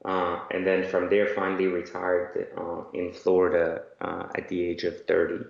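A man's voice: low-pitched, completely flat delivery, normal speed, vocal fry. An Iran-born man guesting on an interview podcast, giving long rambling answers full of fillers and restarts. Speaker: guest entrepreneur. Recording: echoey, dull remote audio.

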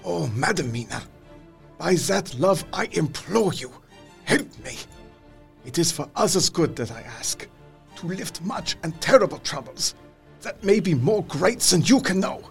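An older professor in a radio drama, fervent and courtly. His voice is fairly high for a man, with a gravelly creak and very animated swings of pitch. He speaks in halting, theatrical phrases. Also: faint music behind, full character voice. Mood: grave, pleading. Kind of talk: radio play acting, dramatic monologue.

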